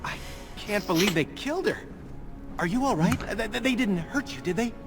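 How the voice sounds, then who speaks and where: Anxious young elf, lightly pitched. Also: male voice, lively intonation, normal speed, creaky, music in the background. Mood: shaken, concerned. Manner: earnest, worried. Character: anxious young male elf, a loyal cousin.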